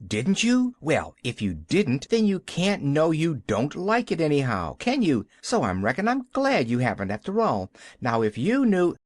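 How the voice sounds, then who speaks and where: A man's voice: a little high, wildly swooping pitch, unhurried, few pauses, dry recording, full character voice. A man voicing an eager, chattering little girl in an audiobook. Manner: eager, breathless and bubbly.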